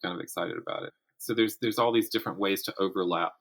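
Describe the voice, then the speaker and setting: A man chatting casually as an interview guest, telling stories in animated, stop-start phrases. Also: low voice, quick pace, slightly rough. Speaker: podcast guest, writer and writing teacher.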